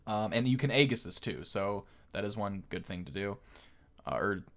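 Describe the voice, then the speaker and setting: Male gaming host, lowish pitch, informal unscripted commentary. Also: lively intonation, normal speed, a bit bright. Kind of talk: casual gameplay commentary.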